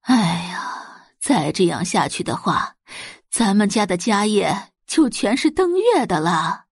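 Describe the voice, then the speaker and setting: Scolding middle-aged mother voiced by a female narrator, audio drama: nagging, sighing, swooping pitch, dry close recording. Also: very animated, slow pace, breathy edge, no room sound, exaggerated voice. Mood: exasperated, resigned. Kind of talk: Chinese audio drama acting.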